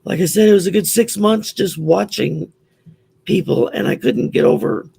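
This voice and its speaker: A man with a Southern US accent and a growly, gravelly voice, chatting openly as a guest on a call. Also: high-pitched, normal speed, mellow, no room sound. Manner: chatty, open, storytelling. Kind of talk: casual chat.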